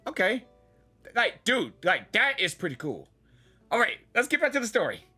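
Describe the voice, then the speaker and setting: Woman gamer doing reaction commentary, super casual and excitable, pitch jumping around, faint music behind. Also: normal speed. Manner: excitable, repeats "like" and "dude". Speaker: gamer reacting to a game on camera.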